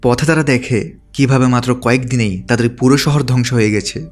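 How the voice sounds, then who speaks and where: A man's voice: medium pitch, steady tone, quick pace. A man delivering scripted narration as the narrator of a movie recap. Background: background music.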